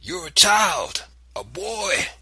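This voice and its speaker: A man's voice: mid-range voice, expressive, normal speed, bright, forceful tone, husky, dry recording, full character voice. A man voicing an elderly grandfather who scolds and thunders at a boy. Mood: scolding.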